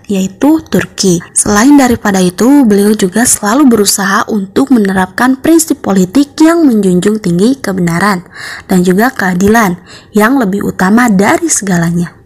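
A young woman teaches a school lesson in a slightly breathy, husky voice. She reads her material in an orderly, instructional way, point by point, for schoolchildren. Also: medium pitch. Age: young adult. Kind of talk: scripted lesson narration for schoolchildren.

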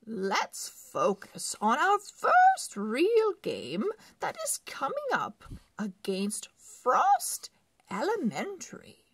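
A woman reading the part of a firm, encouraging female soccer coach from a children's storybook, with brisk, emphatic calls. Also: high voice, huge pitch swings, a little hiss or hum, pitched above the performer's own voice, full character voice.

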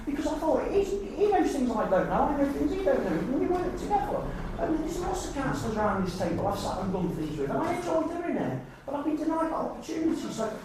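High-pitched, animated English man. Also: fast, few pauses. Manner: insistent, aggrieved. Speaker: town councillor. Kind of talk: impromptu meeting speech. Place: indoor council meeting room. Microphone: distant camera microphone. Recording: echoey room, distant mic, faint noise.

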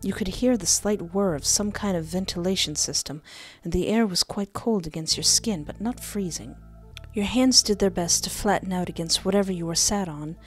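A young woman hosting a game playthrough on a close microphone, reading text aloud and adding animated, jokey asides. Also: on the low side, moderate pace, slightly rough. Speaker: gaming video host. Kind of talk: playful let's play commentary mixed with reading game text aloud. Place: indoor home recording setup. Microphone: close desktop microphone with headset. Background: game music.

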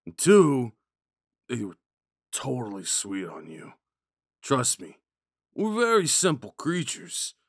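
Man acting a slow-talking drunk himbo jock. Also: medium pitch, huge pitch swings, husky, no echo, studio quality, exaggerated voice. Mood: protective, goofy. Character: drunk, dim, sweet young male college jock. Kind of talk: ASMR audio roleplay.

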